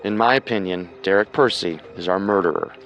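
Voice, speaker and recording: Low-voiced man narrating true crime. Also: fairly quick, fairly bright tone, dull audio.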